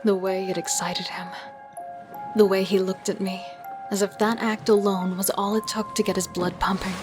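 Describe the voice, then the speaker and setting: A woman playing a thrill-seeking, remorseless killer and thief, narrating in an intimate, breathy way for a horror audio drama. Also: mid-range voice, flat delivery, normal speed, lightly acted. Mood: sultry, confident. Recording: music under the voice. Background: music.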